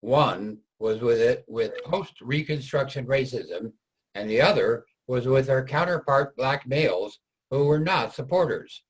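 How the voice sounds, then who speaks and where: A man narrates a scripted reader's theater piece. His voice is medium in pitch and somewhat husky. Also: lively intonation, a little slow, low-quality recording.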